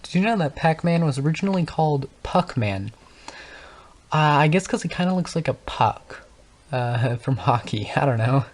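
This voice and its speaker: A man's voice: fairly high pitch, animated, moderate pace. Male gaming host, unscripted, rambling, frequent stutters and restarts. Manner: stutters and stumbles over words; rambling, thinks aloud with many fillers. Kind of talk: unscripted casual talk over gameplay.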